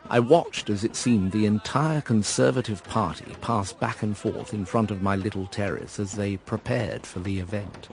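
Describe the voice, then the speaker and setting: A man's voice: lowish voice, normal speed, few pauses, darkish tone, a little hiss or hum, natural voice. An actor playing a wry, articulate, embittered man who narrates his own story in a radio drama. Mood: wry narration. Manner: wry, articulate, educated phrasing.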